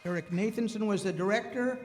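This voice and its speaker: A high-pitched man gives informal thanks and credits as host, halting and hesitant as he goes. He speaks in a reverberant hall, with loud music in the recording. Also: a loud crowd. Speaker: host of a community radio-play show. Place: hall with a live audience.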